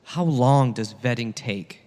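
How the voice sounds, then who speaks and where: A man playing a patient, earnest teacher of parables in a satirical dramatic reading, solemn and deliberate, with long echo around the voice. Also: medium pitch, darkish tone, natural voice. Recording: long reverberant hall echo.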